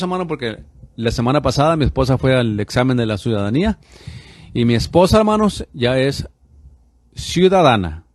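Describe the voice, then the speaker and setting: A middle-aged pastor lectures his radio audience in an opinionated monologue. His voice sits a bit higher than most men's. He is insistent and preachy and keeps calling the listener "brother". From United States. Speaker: pastor and radio show host.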